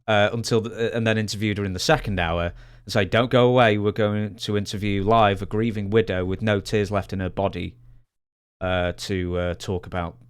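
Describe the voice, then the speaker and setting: A man hosting a podcast in a conversational way, measured and matter-of-fact as he sets up questions. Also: lowish voice, fairly even intonation, clear, resonant tone. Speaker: podcast host, interviewer.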